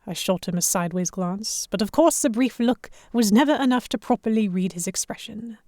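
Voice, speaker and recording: A woman playing a sharp-tongued young lady hostage among pirates, narrating her own story in an expressive, introspective way. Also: medium pitch, a little fast, dry recording, studio quality, lightly acted. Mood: wry. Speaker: narrator and lead character. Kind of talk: first-person audio drama narration.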